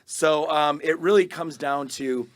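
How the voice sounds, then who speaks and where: A man hosting a podcast, his voice raspy and hoarse from losing it, chatting in an animated way with many restarts. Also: on the high side, measured pace. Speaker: podcast host. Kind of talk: casual interview chat.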